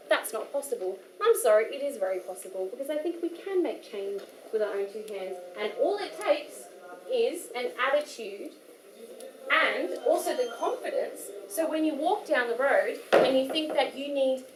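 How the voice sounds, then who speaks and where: Chatty high-voiced Australian woman, echoey hall. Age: young adult. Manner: chatty and animated, many fillers and asides. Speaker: workshop speaker. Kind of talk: informal talk to a live audience. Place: community hall. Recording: echoey hall with faint noise.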